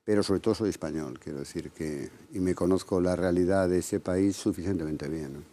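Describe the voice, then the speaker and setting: A man from Galicia answering questions in a TV interview, measured, often ending points with a questioning tag. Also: low-pitched, warm tone. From Galicia, Spain. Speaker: interviewed candidate.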